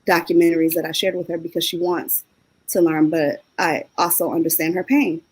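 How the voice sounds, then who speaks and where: A woman's voice: on the low side, little pitch movement, brisk, a little mellow, slightly rough, poor audio. A woman speaking on a panel discussion in a heartfelt, personal way.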